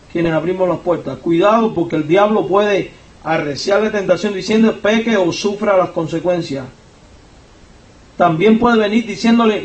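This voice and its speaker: A pastor with a Cuban accent preaches in a high, emphatic voice, pushing up in pitch and volume whenever he stresses a point. He sits back from the microphone, so his words arrive from a distance. The church hall around him rings with echo. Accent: Cuban Spanish. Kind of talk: sermon. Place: church sanctuary. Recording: echoey church hall, voice from far off.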